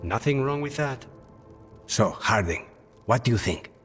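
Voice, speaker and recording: An actor playing a dry, measured contract killer with a soft spot for cooking in a video game. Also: male voice, medium pitch, lively intonation, soft background music. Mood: calm, frank. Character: dry, professional contract killer who loves cooking and coffee. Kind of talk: video game cutscene acting.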